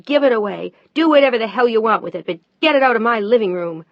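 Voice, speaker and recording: Wife, high and heated, near tears, woman putting her on. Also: animated, fairly quick, no room sound, dull audio. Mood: angry. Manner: loud, sharp, near tears. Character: upset new wife, tearful and fierce.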